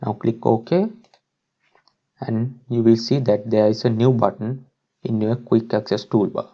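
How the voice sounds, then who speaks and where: A man presenting a step-by-step software tutorial as a screen-recording voice-over. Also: on the low side, fairly even intonation, measured pace, highs cut off. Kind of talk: instructional screen-recording narration.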